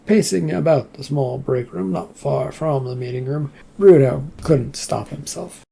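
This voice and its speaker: A reader narrating a story in a plain, even manner. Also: medium pitch, measured pace, gravelly, slightly rough. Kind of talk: reading fiction aloud.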